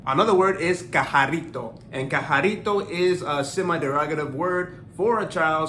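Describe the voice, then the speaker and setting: A man's voice: fairly high pitch, fairly bright tone, slight background noise. A young man teaching Spanish learning resources in a scripted tutorial, friendly and explanatory, slipping Spanish phrases into his English. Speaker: language-learning YouTuber.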